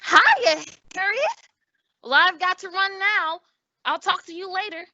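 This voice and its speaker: A woman's voice: extremely high pitch, animated, unhurried, bright, forceful tone, heavily acted. A woman playing an eager, gawky teenage schoolboy in an old-time radio comedy, earnest and gee-whiz. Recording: dull lo-fi radio drama audio.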